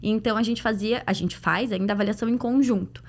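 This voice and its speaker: A woman's voice: mid-range voice, steady tone, fast talker. A woman with a Brazilian Portuguese accent answering in a podcast interview as a clinician guest, explaining things conversationally with illustrative examples. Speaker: guest, pediatric dental clinician.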